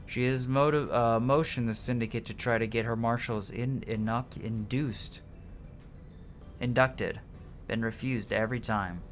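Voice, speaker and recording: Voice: medium pitch, fairly even intonation, measured pace. A streamer with a mid-range voice reads game dialogue aloud dramatically. The delivery trips over words and corrects itself. Game music plays behind the voice. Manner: dramatic reading with stumbles and self-corrections.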